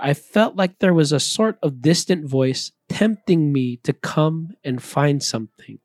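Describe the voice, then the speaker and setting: A man reading a scripted, reflective personal talk in an earnest, measured way. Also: a little high, mellow, no echo, clean studio sound. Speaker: missionary speaker.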